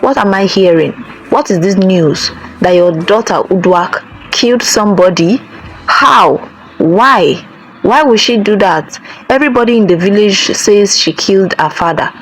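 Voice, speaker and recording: A woman's voice: on the low side, normal speed, slight background noise, lightly acted, rough recording. A woman doing a stern demanding king. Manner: demanding, questioning. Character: stern, commanding village king.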